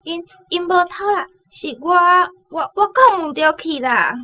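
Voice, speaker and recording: A woman's voice: extremely high pitch, lively intonation, measured pace, sharp tone, full character voice. An actress with a Taiwanese accent playing a timid, self-sacrificing young shop girl who speaks hesitantly in a radio drama. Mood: timid pleading.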